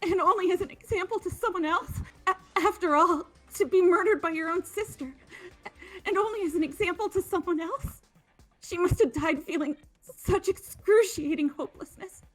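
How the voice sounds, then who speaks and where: An extremely high-pitched, deranged teen girl craves despair with giddy, melodramatic ecstasy. It is video game dialogue with music underneath. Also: moderate pace, rough recording. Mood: manic envy. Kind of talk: amateur voice acting of a video game.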